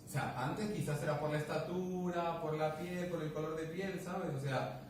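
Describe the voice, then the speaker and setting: A man chats and tells stories, acting out what people said and restarting his phrases often. He is heard from a distance in a very echoey room. Steady background noise sits under his voice. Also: fairly high pitch, moderate pace. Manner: animated, acts out dialogue, many restarts. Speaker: guest speaker. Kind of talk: casual conversational storytelling.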